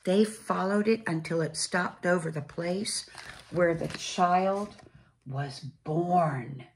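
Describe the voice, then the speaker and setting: A woman reading a storybook aloud to children as a church storyteller, with deliberate, dramatic phrasing and pauses. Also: on the low side, a little hiss or hum.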